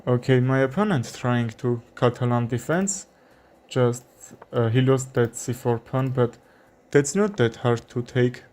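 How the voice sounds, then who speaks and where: A young man with an Armenian accent commentating his own chess game, thinking aloud with frequent fillers and pauses. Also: medium pitch, darkish tone. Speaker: chess streamer. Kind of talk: live commentary while playing chess.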